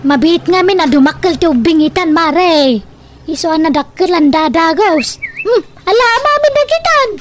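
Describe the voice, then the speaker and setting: A woman acts a helpful village neighbour in a radio comedy drama. Her voice is extremely high and chattily familiar, over faint background noise. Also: lively intonation, moderate pace. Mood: helpful, chatty.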